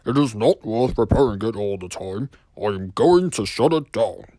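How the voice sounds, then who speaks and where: A man's voice: lowish voice, lively intonation, moderate pace, mellow, dry recording, lightly acted. A man voicing a stern, cross middle-aged railway boss in a children's storybook reading. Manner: stern, firm. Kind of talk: storybook character voice.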